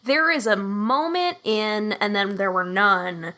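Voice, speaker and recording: A woman's voice: mid-range voice, lively intonation, slow, sharp tone. A woman chatting casually as a podcast guest, enthusiastic and full of fillers. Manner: enthusiastic, lots of "like" fillers and laughs.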